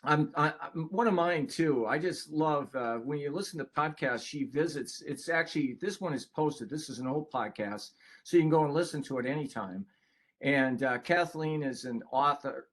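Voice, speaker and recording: An older man presenting a webinar in a casual, conversational way, with a folksy, rambling manner that often interrupts itself. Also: medium pitch. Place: online video call.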